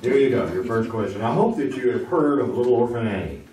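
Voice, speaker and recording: A man's voice: mid-range voice, quick pace, mellow, close to an everyday voice. A man playing a folksy, joking 1950s game show host on a theater stage. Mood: teasing. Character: jokey bumbling male 1950s quiz host. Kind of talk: live stage radio acting. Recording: roomy theater, far mic, audience noise.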